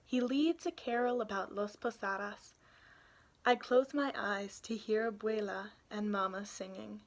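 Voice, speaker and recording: A woman's voice: mid-range voice, fairly even intonation, low-quality recording. A young adult woman reading a children's picture book aloud in an informal storytelling manner. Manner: informal, pauses to point out illustrations.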